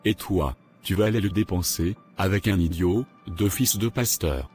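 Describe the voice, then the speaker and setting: A man playing a stern, scornful middle-aged father scolding in an animated drama. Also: low-pitched, normal speed, faint music behind, close to an everyday voice. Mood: scornful, angry.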